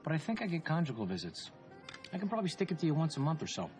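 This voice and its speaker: A man's voice: on the high side, huge pitch swings, very fast. An actor playing a selfish, glib businessman who is facing prison, in a whiny, self-justifying manner for a comedy film. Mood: glib, dismissive. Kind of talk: live-action comedy film acting. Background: music.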